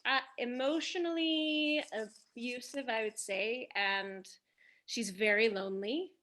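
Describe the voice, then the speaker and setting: A woman with a somewhat high voice speaks at a very slow pace. She is an actress talking informally, feeling her way through each thought with tentative pauses. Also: sharp tone. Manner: halting, tentative, seeks confirmation. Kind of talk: casual panel talk about a role.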